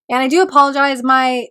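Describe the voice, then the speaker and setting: Woman, astrologer, slow pace, slightly high voice, recovering from a cold, reflective. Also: a bit bright. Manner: slow, reflective, with hesitations and throat clearing. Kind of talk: solo video talk.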